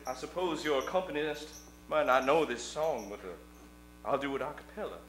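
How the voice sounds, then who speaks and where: A man's voice: medium pitch, expressive, moderate pace, a bit bright, roomy sound, slight background noise, lightly acted. A man playing a fat, self-important amateur singer, rambling and fussy, in a comic radio play. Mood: blustering.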